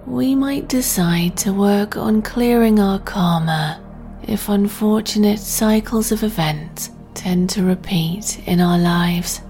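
A woman narrates a guided sleep meditation at a very slow pace. Her delivery is breathy, gentle and soothing, with a mid-range pitch. Music plays behind her. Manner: soft, breathy, soothing, deliberate.

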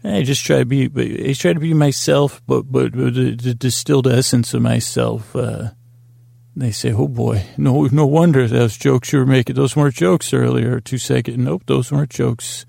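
A middle-aged man hosting a sleep podcast, rambling through a bedtime monologue in a halting, self-deprecating, dryly whimsical way with frequent pauses and unfinished tangents. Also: medium pitch, steady tone, vocal fry, warm tone, dry recording.

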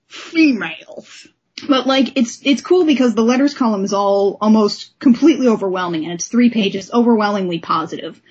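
Woman podcast host, chatty n into it, kinda husky voice, bit dull sounding audio. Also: mid-range voice. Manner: chatty, enthusiastic. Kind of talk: casual comic book discussion.

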